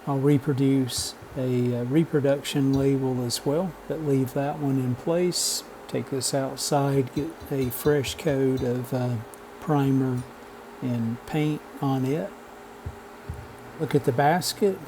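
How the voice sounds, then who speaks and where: A man narrating a vintage radio repair as a relaxed how-to voice-over, matter-of-fact and unhurried, with frequent "uh" pauses. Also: medium pitch, slight background noise. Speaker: radio restoration hobbyist.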